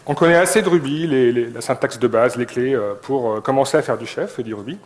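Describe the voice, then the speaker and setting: Male conference speaker, middle-aged, average pitch, informal technical delivery with halting phrasing. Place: indoor conference venue.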